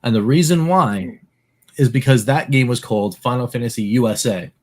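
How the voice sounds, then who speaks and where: A man co-hosting a podcast, explaining at length in a relaxed, casual way with lots of filler words. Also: mid-range voice, moderate pace. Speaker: podcast co-host. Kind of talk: casual chat.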